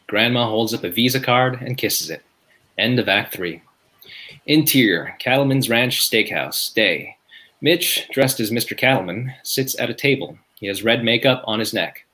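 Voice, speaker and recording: Bright-voiced man reading stage directions. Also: mid-range voice, lively intonation, normal speed. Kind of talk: scripted narration at a table read.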